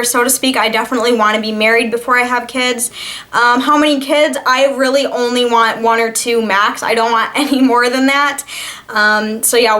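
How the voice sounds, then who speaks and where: A young woman of twenty speaks in a fairly high voice. She talks in an informal, chatty vlog style, as someone taking questions from her viewers would. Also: pushed and bright. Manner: chatty, informal, reads questions aloud then answers. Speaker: vlogger answering viewer questions. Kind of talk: casual Q&A vlog chat.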